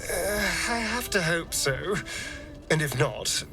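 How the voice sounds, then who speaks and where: Slow, theatrical, lilting male vampire. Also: high-pitched, lively intonation, exaggerated voice. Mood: wistful, reflective. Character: theatrical male vampire newly freed from his master. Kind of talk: dramatic video game voice acting. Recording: slightly distant with music underneath.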